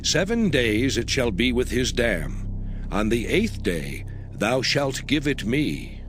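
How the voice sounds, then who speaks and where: A man's voice: medium pitch, very animated, slow. A man voicing God as a solemn, commanding lawgiver in a dramatized scripture reading, with declamatory, archaic diction. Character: God, solemn commanding male deity laying down law. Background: background music.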